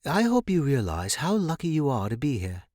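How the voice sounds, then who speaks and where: A man voicing a self-assured, sardonic woman guide in an audiobook story, brisk and wary of outsiders. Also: mid-range voice, wildly swooping pitch, a little mellow, no echo, studio quality, voice pushed up. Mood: stern, sardonic.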